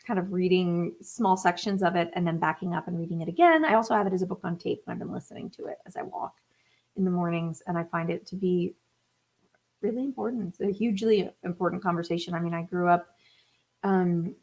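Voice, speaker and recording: A woman's voice: on the low side, brisk, darkish tone, rough recording. A woman in her thirties, from the Atlanta area, chatting casually on a live stream as a musician talking with her viewers, rambling with lots of fillers. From United States (Atlanta area). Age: 30s. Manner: chatty and rambling, many fillers, laughs often.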